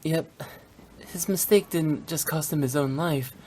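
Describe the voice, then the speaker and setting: A woman's voice: sits low, a little slow, darkish tone, a little hiss or hum. A woman playing a young female funeral director in a video game, playful yet blunt and unsentimental, speaking in a frank, matter-of-fact way. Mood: somber, reflective. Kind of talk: scripted English video game voice acting.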